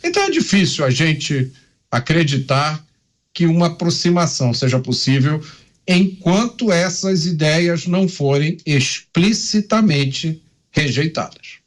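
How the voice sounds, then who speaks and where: A man commenting on a news panel, speaking deliberately and stressing key words. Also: fairly high pitch, big pitch swings.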